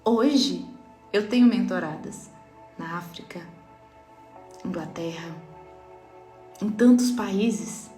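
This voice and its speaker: A Brazilian-accented woman of middling pitch gives an earnest, emphatic faith talk as a mentor. She speaks straight to her listeners. Her voice rings in an echoey room with soft music underneath. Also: slow, pauses often. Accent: Brazilian Portuguese.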